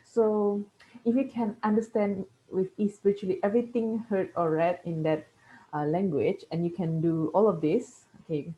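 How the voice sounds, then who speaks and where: A woman's voice: mid-range voice, fairly even intonation, moderate pace, mellow, dull audio. A woman from Malaysia, whose first language is Kayan, giving a university lecture and often checking in with "okay?". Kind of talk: classroom lecture.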